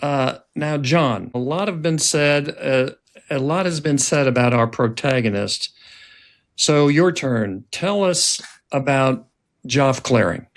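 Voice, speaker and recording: Male podcast host with creaky voice. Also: mid-range voice, slow. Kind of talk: conversational interview.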